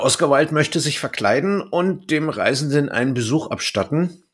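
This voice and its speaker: A man, a podcast co-host casually retelling and commenting on a story. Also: mid-range voice. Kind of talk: casual discussion of an audio drama, retelling and commenting.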